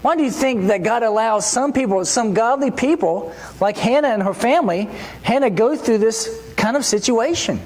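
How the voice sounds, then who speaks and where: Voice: medium pitch, normal speed, darkish tone. Conversational preacher asking rhetorical questions. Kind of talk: sermon. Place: church.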